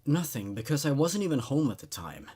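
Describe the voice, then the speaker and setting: A man's voice: medium pitch, big pitch swings, fairly quick, mellow, husky. A man voicing a tormented, unhinged male patient in a horror story, intense, strained and accusatory. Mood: desperate.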